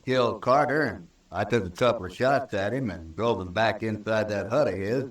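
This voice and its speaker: A male actor playing a surly, evasive American cowhand with rough rural speech in a 1950s radio western. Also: lowish voice, normal speed, close to an everyday voice. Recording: old radio recording, roomy and distant.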